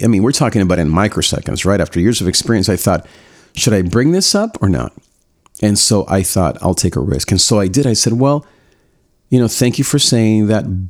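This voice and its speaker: A man giving social skills advice in a casual spoken lesson, reflecting on a personal story and often correcting himself as he talks. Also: fairly low pitch. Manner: reflective, self-correcting, acts out quoted lines.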